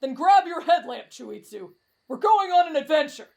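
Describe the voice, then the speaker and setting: A woman's voice: a little high, moderate pace, close to an everyday voice. A woman voicing a brash, reckless teenage boy in an anime-style roleplay drama, cocky, blunt and dismissive. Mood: eager, bold. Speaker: voice actor in roleplay audio.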